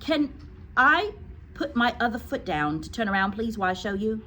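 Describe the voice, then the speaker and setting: Storybook schoolboy, played by a woman: high, eager, brave, very lively pitch, faint room noise. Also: normal speed. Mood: eager, cheeky. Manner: piping, eager.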